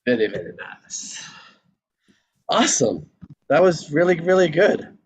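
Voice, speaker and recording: A man's voice: fairly high pitch, very animated, measured pace. A man hosting a casual online group chat in an effusive, encouraging way. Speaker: host of an online table read show. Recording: muffled video call audio, clear noise, clicks.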